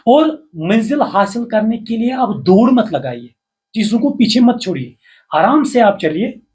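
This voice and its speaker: An older man with a Kashmiri accent speaks in a slightly higher voice. His delivery is formal and measured, and he draws out the lines he stresses. He sounds like a writer giving earnest advice as a guest. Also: sing-song, darkish tone. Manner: formal, measured, slow recitation with rising emphasis. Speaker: poet and writer, interview guest. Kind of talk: reciting verse, then earnest advice.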